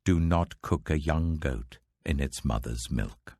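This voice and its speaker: A man reading a scripture audiobook, voicing a stern male God who speaks in a solemn, commanding, deliberate manner. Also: a bass voice, darkish tone, no room sound, exaggerated voice.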